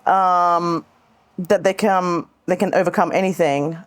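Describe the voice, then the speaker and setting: A woman's voice: on the low side, steady tone, measured pace. A woman hosting a talk show, asking questions in an informal, conversational way. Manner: informal, frequent short listening responses. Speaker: talk show host, interviewer. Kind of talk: conversational interviewing.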